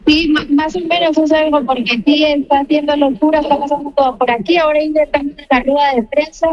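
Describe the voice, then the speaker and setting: Female live sports reporter, high register, dull dry remote-link sound. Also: steady tone, a little fast, dry recording. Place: busy stadium media zone.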